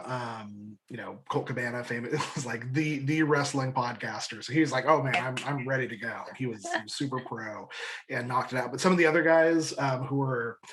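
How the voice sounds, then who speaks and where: A man's voice: medium pitch, fairly bright tone, a little hiss or hum. Rambling, enthusiastic man chatting informally. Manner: rambling, halting, repeats words and restarts sentences often. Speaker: guest, audio drama creator. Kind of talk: casual interview chat.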